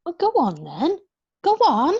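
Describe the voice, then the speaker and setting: A woman's voice: high voice, huge pitch swings, unhurried, darkish tone, dull audio, heavily acted. A woman reading a kindly farmer's wife and mother in an amateur screenplay read-through. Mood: friendly, curious.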